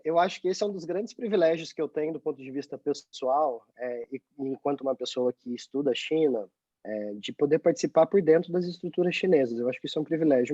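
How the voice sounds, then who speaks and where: A man's voice: fairly high pitch, mellow, dry recording. Man explaining over a muffled video call. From Brazil. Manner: explanatory, frequent hesitations. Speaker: guest. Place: office in Beijing.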